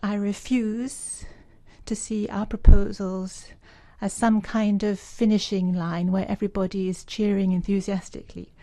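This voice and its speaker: A woman's voice: mid-range voice, flat delivery, a little slow, warm tone. A woman working as a simultaneous interpreter at a formal conference, rendering speeches into English with frequent fillers and restarts. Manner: hesitant, many fillers while keeping up with the speaker. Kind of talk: live interpretation of citizen speeches.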